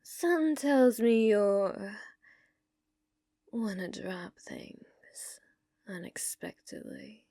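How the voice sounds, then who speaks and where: A woman playing a flirtatious, teasing, dominant female bounty hunter in a western ASMR roleplay, coaxing with drawn-out endearments. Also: medium pitch, lively intonation, warm tone, no room sound, clean studio sound, full character voice. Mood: soft, teasing. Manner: coaxing, drawling endearments, drops into breathy whispers. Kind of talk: ASMR roleplay, scripted character acting.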